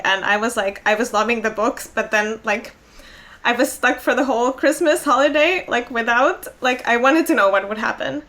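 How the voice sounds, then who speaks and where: A woman's voice: on the high side, a bit bright. A young adult woman, a native Finnish speaker, chatting casually on a podcast, filling her talk with "like" and little laughs. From Finland. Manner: chatty, many likes and laughs. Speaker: podcast co-host. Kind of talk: casual chat.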